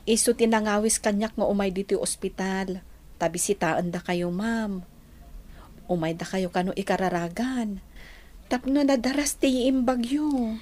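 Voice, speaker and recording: A woman's voice: mid-range voice, fairly even intonation, moderate pace, a little mellow, lightly acted. A woman acting a humble, hesitant and fearful Filipino village neighbour in an Ilocano radio drama. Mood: polite.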